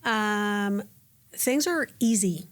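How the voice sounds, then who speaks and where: Colombian-accented woman, lively interview guest. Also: medium pitch, extremely slow. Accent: Colombian Spanish. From Colombia (Cali). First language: Spanish. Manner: animated, restarts and acts out quoted voices. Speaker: guest, educator. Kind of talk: casual interview chat.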